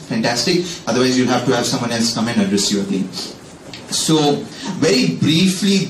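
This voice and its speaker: A man's voice: mid-range voice, slight background noise. A young man giving a chatty career talk to students, with joking asides. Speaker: alumni speaker. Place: packed lecture hall. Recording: echoey hall, distant mic.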